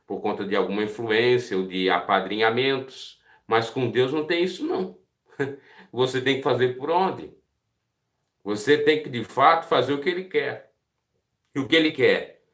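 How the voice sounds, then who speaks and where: A man's voice: mid-range voice, normal speed, a bit bright, roomy sound. A man with a Brazilian Portuguese accent giving a devotional Bible teaching, earnest and putting direct questions to his listeners. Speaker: preacher.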